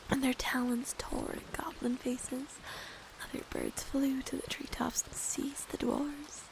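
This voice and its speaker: A woman with a stuffy nose reading a story aloud in a soft, gentle ASMR whisper. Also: high voice, gravelly, low-quality recording.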